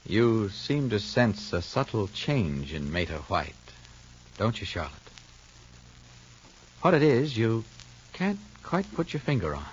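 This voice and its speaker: A man's voice: sits low, a little hiss or hum. A male radio announcer narrating an old soap and reading ads emphatically, on a lo-fi vintage recording. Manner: emphatic, salesman-like.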